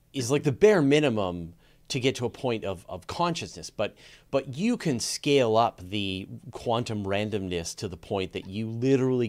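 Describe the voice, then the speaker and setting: A man hosting a conversational science interview, thinking aloud in a halting way as he frames his questions. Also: on the low side. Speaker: podcast host, interviewer.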